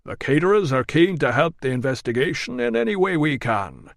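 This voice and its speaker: A man voicing a dignified middle-aged guild representative with a rich bass voice and a measured, formal manner. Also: sing-song, gravelly, no room sound, studio quality.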